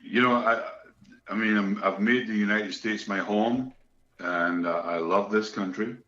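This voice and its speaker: A man's voice: fairly low pitch, steady tone, a little slow, pushed and bright. A middle-aged Scottish man, a guest musician, telling stories in a chatty, joking way. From Scotland. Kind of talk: casual interview chat. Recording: echoey room, dull lo-fi sound.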